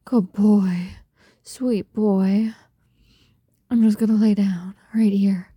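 A woman speaking in an intimate adult roleplay style straight to the listener, soft, breathy and coaxing, full of doting pet names. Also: mid-range voice, level tone, takes it slowly, no room sound, studio quality. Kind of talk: intimate erotic roleplay audio, addressing the listener.

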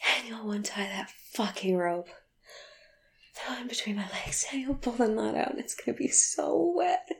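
A woman speaking a slow, intimate audio roleplay monologue, often in a breathy whisper with sighs and soft laughs between phrases. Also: fairly high pitch.

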